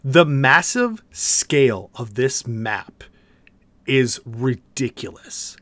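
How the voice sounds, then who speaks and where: A man's voice: medium pitch, animated, takes it slowly, fairly bright tone, no echo. Casual, enthusiastic male podcast co-host, dry sound. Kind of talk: casual video game discussion.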